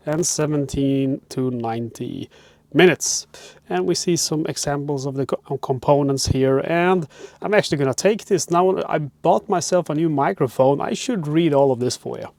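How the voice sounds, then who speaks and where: A man's voice: on the high side, gravelly, a little mellow, no room sound. A man with a Swedish accent, hosting a casual board game unboxing from inside a cramped RV on a wireless clip-on mic, chatty and rambling with lots of "uh" fillers. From Sweden. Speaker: board game channel host. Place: cramped RV parked by a harbor. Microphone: wireless clip-on microphone.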